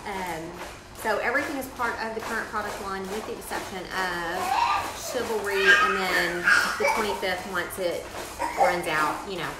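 A woman in her thirties on a living-room couch, explaining wellness products in an informal review, unhurried and hesitant with many ums. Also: medium pitch. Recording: echoey room with loud background noise.

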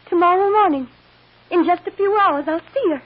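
An actress playing an anxious, devoted woman accused of a crime, speaking earnestly and haltingly in an old-time radio drama. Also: extremely high pitch. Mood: excited, insistent. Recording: muffled, hissy old radio recording.

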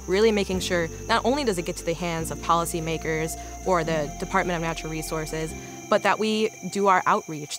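Earnest lower-voiced woman over music. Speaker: field research scientist. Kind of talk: documentary interview, explanatory. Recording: music bed under voice.

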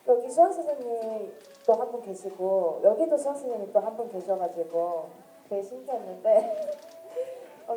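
A woman in her twenties chats with her fans in a casual, giggly way, swinging between stories and jokes. Her voice is dark and soft rather than bright. She speaks through a handheld mic, and the event hall gives it a roomy echo. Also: fairly high pitch. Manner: chatty, giggly, storytelling. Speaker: singer talking to fans.

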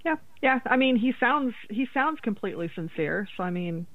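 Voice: medium pitch, lively intonation, normal speed. Podcast cohost chatting casually, agrees a lot, tinny phone-line sound, no room echo. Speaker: podcast co-host. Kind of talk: casual chat. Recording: thin phone-like line, dry.

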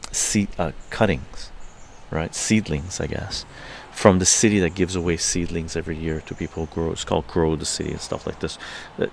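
Older man, gravelly voice, lowish pitch, faint outdoor ambience, casual livestream storytelling. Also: a little hiss or hum. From Canada. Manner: animated, laughing, swearing, acts out quotes in higher voices. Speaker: livestream host. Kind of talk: casual storytelling to a live chat. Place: outdoor patio garden.

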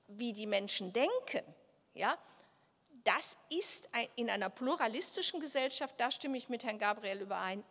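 A woman's voice: sits high, a bit bright. An older woman, a professor, giving a conference talk at a lectern, gesturing and posing questions aloud to herself. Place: large hall at a political conference. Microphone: lectern microphone. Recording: reverberant hall.

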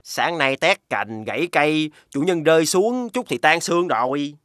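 High, lively animal sidekick. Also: male voice, normal speed, no echo, studio quality. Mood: fretful. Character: chatty, worried animal sidekick of a hero.

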